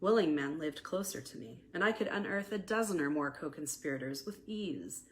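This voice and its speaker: An American woman with a fairly low voice narrates a scripted romantic memoir. She reads expressively and deliberately, like an author presenting her own story. There is some background noise on the recording. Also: lively intonation. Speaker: author reading own novella aloud.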